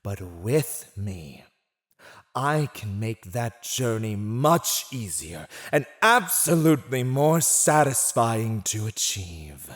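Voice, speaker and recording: A man's voice: on the low side, very animated, slow, fairly bright tone, heavily acted. A man playing a silver-tongued villain in an ASMR roleplay, condescending, theatrical and seductively persuasive as he teases a captive. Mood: coaxing, persuasive. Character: silver-tongued, teasing male villain gloating over a captive. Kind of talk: scripted ASMR roleplay acting.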